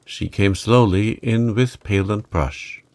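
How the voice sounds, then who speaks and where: A man gently narrating a bedtime story in a calm, measured way. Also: on the low side, vocal fry. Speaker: audiobook narrator.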